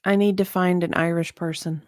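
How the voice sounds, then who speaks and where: A woman's voice: lowish voice, fairly even intonation, normal speed, a little mellow, no echo, clean studio sound. A woman bantering casually as a co-host on a stream.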